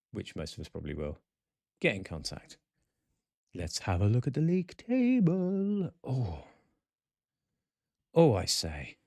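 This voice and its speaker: A croaky-voiced man hosts a football fan show in a casual, chatty way. He runs through numbers and ratings, breaking off into quick opinions. His middle-pitched voice sits close and dry, with no room sound. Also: no room sound. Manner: reads out stats with chatty asides. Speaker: football podcast host.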